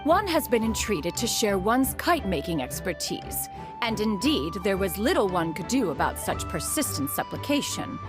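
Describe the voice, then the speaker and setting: A lofty, archaic-speaking immortal woman, voiced by an actress, proud of her inventions and curt with others. Her voice swings widely in pitch, with faint music behind. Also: sing-song, normal speed, natural voice. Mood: haughty. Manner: archaic and formal, calls herself "one", haughty. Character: aloof ancient female immortal inventor, proud and formal. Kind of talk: game dialogue. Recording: soft game music behind.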